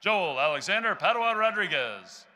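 A young man with a Texan accent announces graduates' names into a podium microphone in a large indoor arena. His voice is bright and high, with a lively rise and fall, and he calls each name loudly and deliberately. His reading stays steady and measured from one name to the next.

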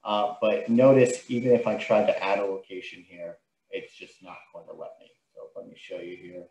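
A man giving an informal, step-by-step software tutorial as a trainer, explaining what he does on screen. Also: on the low side, normal speed, highs cut off. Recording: roomy, echoing sound.

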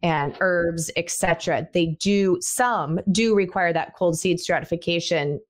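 A woman's voice: fairly low pitch, measured pace. A woman in her thirties hosting a podcast over a headset, speaking in a friendly, conversational way while guiding the questions. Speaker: podcast host. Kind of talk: casual interview hosting. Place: indoor home room.

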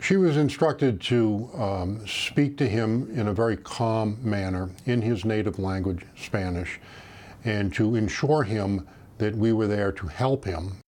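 Low-voiced older man, formal and measured. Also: a little mellow. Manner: formal, measured, official phrasing. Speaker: retired federal agent being interviewed. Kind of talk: interview recollection. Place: small private office, indoors.

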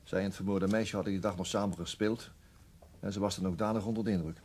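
A weary police detective played by a male actor gives his account in a low, measured voice. He is methodical and matter-of-fact. It sounds like an old radio play with faint noise behind it. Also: steady tone, darkish tone, natural voice. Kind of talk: Dutch radio drama acting. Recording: noisy old radio drama recording.

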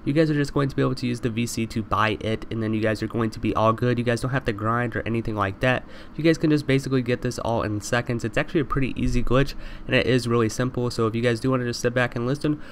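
A young man with a stuffy, congested voice rattles through a gaming voice-over at a rapid clip, calling his viewers "you guys" again and again. His pitch sits in the middle, and there is a faint hiss of noise behind him. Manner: repetitive, addresses viewers constantly as "you guys". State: congested from allergies. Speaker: gaming YouTuber. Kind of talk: fast voice-over over gameplay.

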